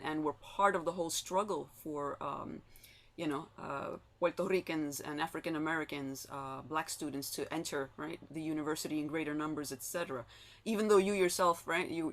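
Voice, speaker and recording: A woman whose first language is Spanish moderates a panel discussion in a somewhat low voice. She speaks in a loose, conversational way, with plenty of "um"s and a habit of checking in with "right?" after her points. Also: a bit bright. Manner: frequent "um" and tag "right?".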